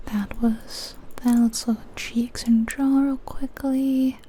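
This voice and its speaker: A woman whispering softly and breathily in an ASMR style, gently musing aloud as she comments on a video game. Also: fairly high pitch, little pitch movement, slow pace, husky.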